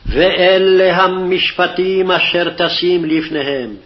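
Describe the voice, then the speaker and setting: A man's voice: high voice, fast talker. A man formally reciting scripture phrase by phrase, on a dull, lo-fi archival recording. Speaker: scripture reader. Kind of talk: scripted Hebrew Bible reading.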